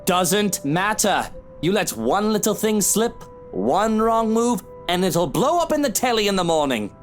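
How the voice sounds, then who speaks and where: Boozy husband for a visual novel: jealous, needling, theatrical, widely swinging pitch, music underneath. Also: male voice, big pitch swings, a little slow. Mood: suspicious, needling. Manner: mocking endearments, needling, theatrical. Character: hungover drinking husband, jealous, sarcastic, quarrelsome man. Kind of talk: visual novel game voice acting.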